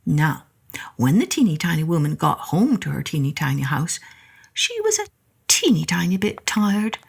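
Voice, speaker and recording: A woman narrating a children's story in an expressive, deliberate storyteller's manner. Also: lowish voice, gravelly, slightly rough. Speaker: storyteller, podcast host.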